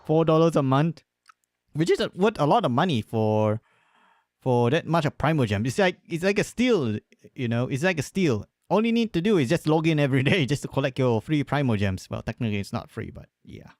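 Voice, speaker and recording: A man's voice: fairly high pitch, sing-song, fairly quick, darkish tone, no echo, studio quality. A man giving casual, rambling live commentary on a game stream, swearing freely at times.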